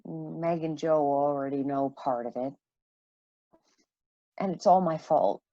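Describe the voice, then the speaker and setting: A woman acting a troubled, apologetic mother in a modern radio play, speaking earnestly and haltingly. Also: sits low, warm tone, no echo, dull audio. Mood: guilty, apologetic.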